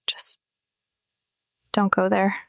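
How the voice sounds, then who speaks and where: A woman playing a blunt, determined young hacker sleuth in an audio drama, with a deadpan manner. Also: very slow, dry recording, studio quality.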